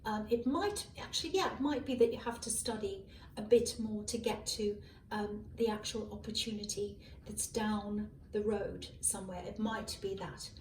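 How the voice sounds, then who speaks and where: A hoarse woman giving a casual tarot reading, musing aloud with many ums and self-corrections, recorded on a faulty microphone. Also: medium pitch, moderate pace, slight background noise. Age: adult. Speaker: tarot reader. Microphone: malfunctioning microphone at a distance. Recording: echoey room, far from a faulty mic. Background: faint passing cars.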